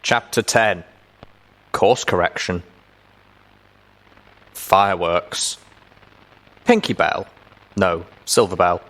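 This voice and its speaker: Male audiobook narrator with a lowish voice for first-person fiction. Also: takes it slowly, pauses often, fairly bright tone, slight background noise, low-quality recording.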